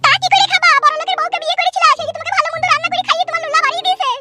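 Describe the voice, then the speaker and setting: A woman voicing a quarrelsome young village wife in a cartoon comedy, scolding and complaining, with a pitched-up voice. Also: steady tone, racing, heavy background noise. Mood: nagging. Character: nagging, tearful young village wife, affectionate but quarrelsome.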